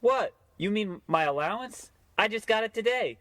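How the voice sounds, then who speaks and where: A man's voice: high voice, lively intonation, moderate pace, a bit bright, no room sound. A man voicing an eager, naive young paperboy in a comic old-time radio play, breathless and politely gee-whiz. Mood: naive, trusting.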